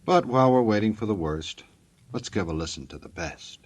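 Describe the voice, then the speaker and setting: Casting: deep, raspy American male announcer, folksy and jokey, 1940s radio style. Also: lively intonation, fairly quick.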